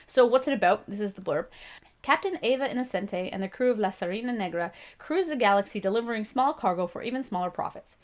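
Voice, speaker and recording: Canadian woman, 30s, book-review host; gravelly, chatty, self-mocking, mid-pitched. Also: animated, fast talker. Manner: chatty and self-deprecating, with frequent laughs and restarts. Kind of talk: casual spoken book review.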